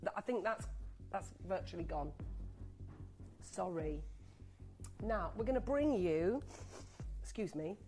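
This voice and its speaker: A woman presenting live on a TV shopping channel, chatty and excitable, rattling off buyer names and sounding a little sniffly from a cold. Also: medium pitch, takes it slowly, pauses often, soft background music. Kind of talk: live on-air sales pitch. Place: TV studio.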